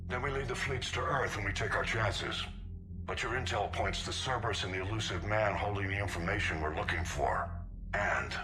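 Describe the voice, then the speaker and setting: A senior male fleet commander from a video game, voiced by an actor, with a fairly low voice. He sounds grave, measured and resolute, speaking with authority. Music is clearly audible behind him. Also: natural voice. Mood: grave, determined. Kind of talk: video game character dialogue.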